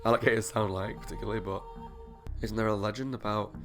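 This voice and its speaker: A man's voice: on the low side, fairly even intonation, fast, over music. A man hosting a reaction video, commenting casually as he watches a TV show.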